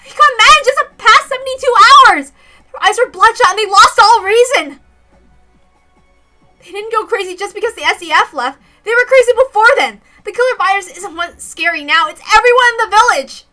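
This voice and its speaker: Woman as a desperate, high-pitched wounded girl. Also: expressive, fairly quick, faint music behind, full character voice. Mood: frantic despair. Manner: anguished, protesting, loud outbursts. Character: wounded, desperate young girl, bitter and overwrought.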